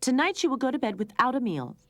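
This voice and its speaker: A woman's voice: on the high side, big pitch swings, brisk, dark tone, husky, no echo, pitched above the performer's own voice. A woman voicing a stern, scolding mother cat. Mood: angry.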